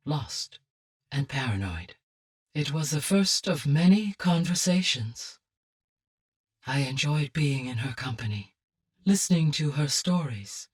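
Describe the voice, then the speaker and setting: Calm, low-voiced female AI. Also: slightly rough, distant mic, exaggerated voice. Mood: calm, wistful. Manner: measured, precise, formal diction. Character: female artificial intelligence, calm, courteous, motherly machine mind. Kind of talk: scripted video game voice acting.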